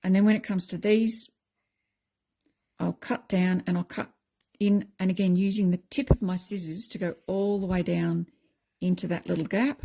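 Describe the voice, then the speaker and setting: A middle-aged woman with a croaky voice, chatting casually as she explains a craft technique step by step. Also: on the low side, mellow. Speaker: craft tutorial host.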